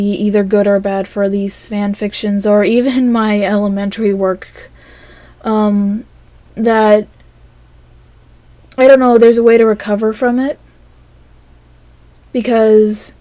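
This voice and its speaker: Slow, mellow woman musing aloud. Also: mid-range voice, no echo. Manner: hesitant, halting, trailing phrases. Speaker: channel host recording an audio log. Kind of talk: casual rambling monologue.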